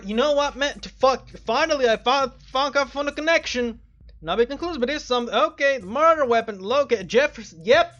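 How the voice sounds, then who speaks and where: High, animated woman gaming, exasperated and sweary. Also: dull audio. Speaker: gaming video host. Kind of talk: casual let's play commentary.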